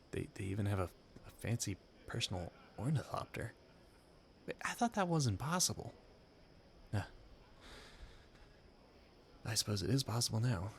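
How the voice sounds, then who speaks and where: A man playing an earnest, dreamy young gentleman inventor in a romantic audio roleplay, formal and wistful in manner. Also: on the low side, gravelly, slight background noise. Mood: startled, urgent. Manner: wistful, formal, flustered stammering when startled.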